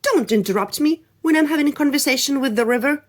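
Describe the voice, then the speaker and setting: A woman's voice: high voice, quick pace, voice pushed up, close to an everyday voice. Woman playing a haughty, rude willow tree.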